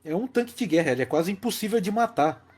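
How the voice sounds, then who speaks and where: A man's voice: high voice, lively intonation, fast, darkish tone, slightly rough. A Brazilian man co-hosting a casual film discussion, explaining things emphatically and repeating key phrases. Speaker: podcast host.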